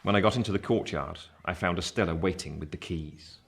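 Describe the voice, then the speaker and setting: An actor playing a grown man recalling his boyhood, narrating a literary radio play in a measured, wry way. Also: deep voice, creaky. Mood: animated, wry. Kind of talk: scripted first-person storybook narration.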